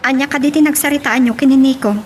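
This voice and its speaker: Actress as worrying Ilocano mother, high voice, audio drama, slightly noisy ambience. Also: steady tone, quick pace, a little mellow, lightly acted. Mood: sympathetic. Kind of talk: Ilocano radio-style drama acting.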